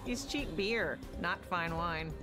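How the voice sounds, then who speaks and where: A woman's voice: on the low side, slow, music in the background. A woman playing a tough, sardonic young soldier in a video game, with blunt, dry, combative delivery.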